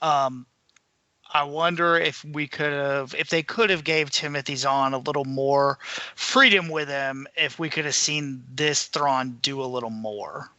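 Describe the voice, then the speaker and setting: A man chatting casually about books as a podcast panelist, in a measured and tentative way. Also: on the high side, steady tone, pushed and bright, dry recording, highs cut off. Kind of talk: casual book discussion.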